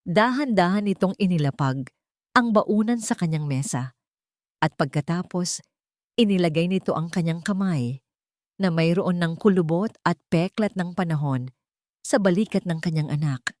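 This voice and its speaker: A woman narrating a scripted, dramatic audio story in measured, deliberate phrases. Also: on the low side, a little mellow, dry recording, clean studio sound.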